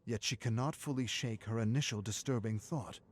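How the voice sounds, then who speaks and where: A man's voice: medium pitch, normal speed. A man reading a scripted story as a dramatic narrator.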